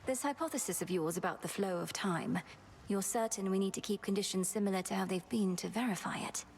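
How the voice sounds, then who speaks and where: An actress playing a young, dutiful underworld princess and witch in a video game, composed and earnest, with a gravelly creak. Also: mid-range voice, a little fast, in a large hall, noisy background, natural voice. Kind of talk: video game dramatic acting.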